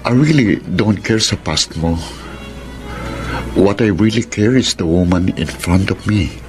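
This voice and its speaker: A deep male voice over background music: a smitten Filipino governor in a radio romance. He is earnest, generous and insistent in his courting. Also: expressive, a little slow. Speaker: actor in a radio drama. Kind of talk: Filipino radio drama acting. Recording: music under the voice.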